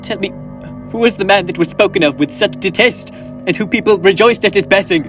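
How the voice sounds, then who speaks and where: A man's voice: high voice, brisk, close to an everyday voice. A teenage boy acting an old male miser on a school stage, pleading earnestly and theatrically. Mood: dread. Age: teens. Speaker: stage actor. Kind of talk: high school stage play. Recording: guitar music behind.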